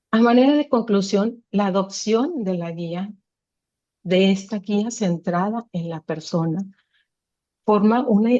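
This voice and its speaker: A woman's voice: medium pitch, warm tone, muffled recording. A woman from Mexico giving an earnest, formal conference presentation.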